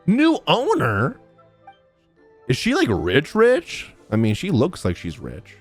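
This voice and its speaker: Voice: medium pitch, huge pitch swings, takes it slowly, soft background music. A streamer giving casual, playful and jokey gaming reaction commentary in slangy speech.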